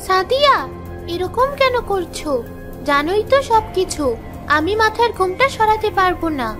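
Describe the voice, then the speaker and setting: Woman as high-voiced downtrodden young cartoon wife. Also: big pitch swings, a little slow, exaggerated voice. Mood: wary pleading. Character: wronged, plaintive young wife, downtrodden and sensitive. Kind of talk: children's fairy-tale cartoon. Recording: music behind the voice.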